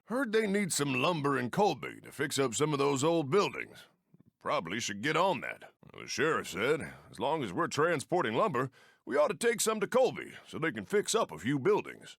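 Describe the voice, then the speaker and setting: Old West train engineer, American accent, folksy, friendly, highly animated intonation, dry studio sound, video game character. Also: male voice, vocal fry, no echo, exaggerated voice. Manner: folksy, colloquial drawl of the frontier. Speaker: mission-giver game character.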